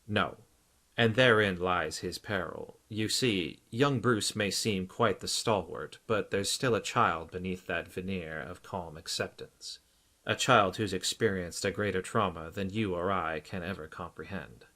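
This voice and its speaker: A man's voice: sits low, measured pace, bright, forceful tone, heavily acted. Guy doing a stout shrink in an audiobook, serious, clinical, earnest and careful with his words. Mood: grave warning. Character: stout male psychiatrist, grave, clinical, solicitous. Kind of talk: audiobook character acting.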